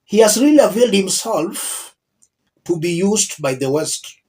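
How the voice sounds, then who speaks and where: Slow, emphatic Kenyan man with swooping intonation. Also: high-pitched, big pitch swings, darkish tone. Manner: emphatic, deliberate, repeats key phrases. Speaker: political commentator, channel host. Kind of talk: political video commentary addressed to viewers.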